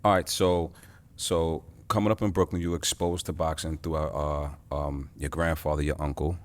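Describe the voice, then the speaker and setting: A man's voice: very deep voice, little pitch movement, normal speed. A man hosting a casual interview in a boxing gym, hyping the intro and then asking questions in an easygoing way. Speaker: interviewer, show host.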